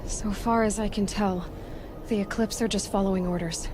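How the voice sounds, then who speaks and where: Determined young heroine played by an actress, music behind. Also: female voice, medium pitch, fairly even intonation, normal speed, noisy background, lightly acted. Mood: uneasy, pensive. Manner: direct, insistent, questioning. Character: determined, defiant, inquisitive young female huntress heroine. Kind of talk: video game cutscene dialogue.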